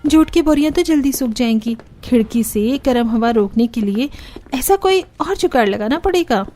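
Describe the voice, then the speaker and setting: A woman's voice: a little high, animated, normal speed, mellow, natural voice. A woman voicing a young, resourceful and polite daughter-in-law in a cartoon story. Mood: earnest, eager. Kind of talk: Hindi cartoon story dialogue.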